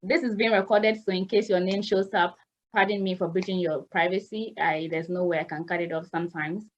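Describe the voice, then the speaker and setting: A woman's voice: on the low side, fairly even intonation, brisk, lo-fi sound. Woman host in her 30s, chatty explainer, video call audio. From Ghana. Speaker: host of a nurse consulting Zoom session. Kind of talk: informal video call briefing.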